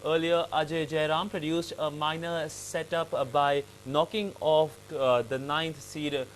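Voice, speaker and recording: A man with an Indian accent reading the news in a formal, slightly halting way. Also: a little high, level tone, slight background noise. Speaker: news anchor.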